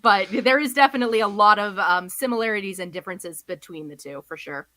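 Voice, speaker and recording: A woman co-hosting a podcast in casual discussion, hesitant, with many fillers, and quick to laugh. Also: mid-range voice, a bit bright. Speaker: podcast co-host.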